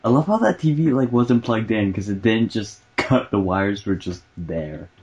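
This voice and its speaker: A man's voice: fairly low pitch, animated, normal speed, a little mellow, breathy edge, dull audio. A man chatting casually and jokingly about a film with friends over a voice call. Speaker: co-host of a movie riffing show. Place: remote voice call, indoors. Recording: faint noise on voice call.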